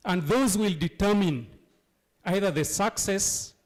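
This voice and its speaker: A hoarse man from Uganda, sick with a cold, speaking emphatically at a town hall meeting and asking rhetorical questions. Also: sits high, a little slow. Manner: emphatic, rhetorical questions, repeats key phrases. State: hoarse from flu and cough. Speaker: local leader addressing a town hall.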